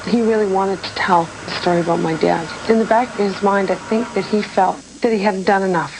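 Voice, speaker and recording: A woman's voice: medium pitch, fairly even intonation, fairly quick, vocal fry. A woman casually recalling a family rescue in an interview. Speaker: relative recounting a rescue. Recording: noisy old TV documentary tape.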